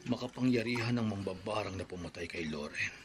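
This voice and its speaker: A man's voice: on the low side, brisk, background noise, lightly acted. An actor playing a grieving father and entomologist who plots revenge in a radio drama, brooding, with sinister chuckles. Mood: scheming.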